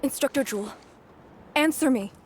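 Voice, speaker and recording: A woman's voice: high voice, normal speed, a little hiss or hum, natural voice. An actress playing a resolute, dutiful young female warrior in a video game, speaking with an earnest, commanding manner. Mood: stern, questioning. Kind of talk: dramatic video game voice acting.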